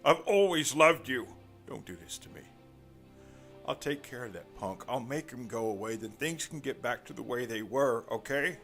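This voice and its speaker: A man's voice: medium pitch, animated, moderate pace. A man voicing a corrupt police detective, a desperate, possessive lover who pleads intensely, over an audio drama's music. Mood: pleading. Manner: pleading and intense, swinging from hushed to shouting.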